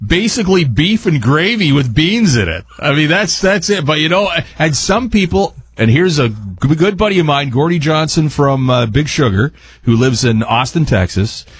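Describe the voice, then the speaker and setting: A man's voice: fairly high pitch, expressive, moderate pace, breathy edge, dry recording. A man, a radio chef explaining a recipe in a folksy, informal, rambling way. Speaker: resident chef and radio host. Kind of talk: casual chat explaining a recipe.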